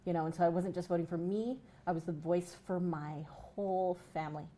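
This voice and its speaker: A woman activist, a guest on a talk show, speaking in an animated way with frequent "you know". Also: on the low side, brisk, slight background noise. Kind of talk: casual chat.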